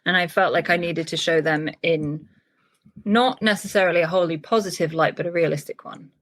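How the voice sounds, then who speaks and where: A woman's voice: lowish voice, brisk. A woman novelist talking about her writing in a casual interview, thoughtful and full of small restarts. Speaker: novelist guest.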